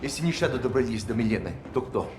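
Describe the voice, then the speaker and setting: A man's voice: mid-range voice, lively intonation, racing, loud music behind the voice, lightly acted. An actor voicing a deferential, eager male lieutenant in a Russian-language video game cutscene. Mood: earnest.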